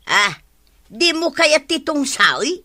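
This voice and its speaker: A woman's voice: sits high, big pitch swings, takes it slowly, sharp tone, exaggerated voice. An actress playing a chatty, exclamatory village peddler woman in a comedic radio drama. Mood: coaxing.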